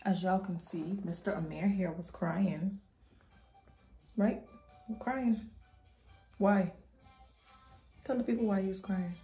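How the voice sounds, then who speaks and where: A chatty young woman in her twenties talks straight into a phone camera held close, in a small home bathroom, with a loose and informal way of addressing her viewers. Her voice sits at a middle pitch, and clear music plays underneath her. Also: steady tone, slow pace, pauses often. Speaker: vlogger, mother. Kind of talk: casual vlog chat to camera.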